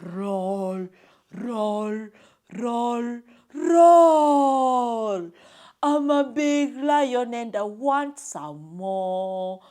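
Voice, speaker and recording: A woman storyteller voicing a big, angry, hungry lion king with roaring, booming demands for children. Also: drawn-out words, warm tone.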